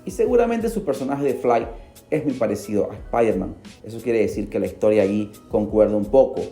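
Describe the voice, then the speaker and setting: A man hosts a podcast and narrates a story in a dramatic, animated way. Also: on the low side, fairly quick, creaky. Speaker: podcast host. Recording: roomy echo. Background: faint music.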